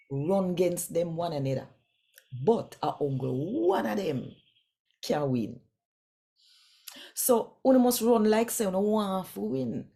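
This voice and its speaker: A woman's voice: lowish voice, mellow. A woman from Jamaica with a Jamaican accent giving a devotional scripture reading in Patois, speaking directly and conversationally to listeners. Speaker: Bible reader and host.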